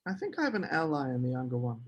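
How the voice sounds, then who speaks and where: A man's voice: mid-range voice, wildly swooping pitch, fast, lo-fi sound. A man at a screenplay table read playing a scheming, charming literary man with formal, earnest phrasing. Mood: earnest, persuasive.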